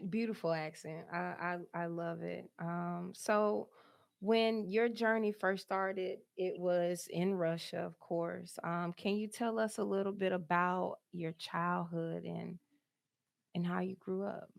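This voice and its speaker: A woman's voice: fairly low pitch, fairly even intonation, slow pace, gravelly. A woman hosting an interview, friendly and affirming toward her guest. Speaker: podcast host.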